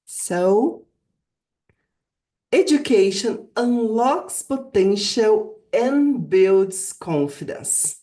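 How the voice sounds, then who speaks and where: A Brazilian woman whose first language is Portuguese speaks as a guest presenter and teacher. Her voice sits at a medium pitch. She delivers a prepared talk earnestly and deliberately, stressing key phrases with a Brazilian accent. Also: animated, dark tone. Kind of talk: prepared virtual summit presentation.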